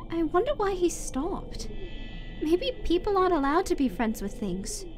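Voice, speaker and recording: A woman playing an innocent, hopeful little skeleton boy in an animated comic dub, earnest, trusting and childlike. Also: extremely high pitch, normal speed, a little hiss or hum. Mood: wistful. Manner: childlike, earnest, wide-eyed.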